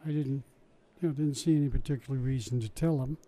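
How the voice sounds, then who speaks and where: An older man reminisces in a relaxed interview, his voice very dark and mellow. He tells his stories in a chatty, wry way, often restarting mid-sentence and dropping small asides. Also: medium pitch, fast talker. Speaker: interviewee recalling his science show work. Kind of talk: casual oral-history storytelling.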